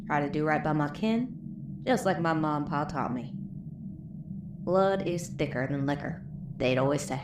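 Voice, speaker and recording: Dying frontier woman played by an actress, low, folksy, worn and reflective, echoing space with noticeable background noise. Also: normal speed, pauses often, natural voice. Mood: weary, reflective. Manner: folksy, weary, reflective drawl. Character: weary, plain-spoken dying country woman, post-apocalyptic survivor. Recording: roomy, with clear background noise.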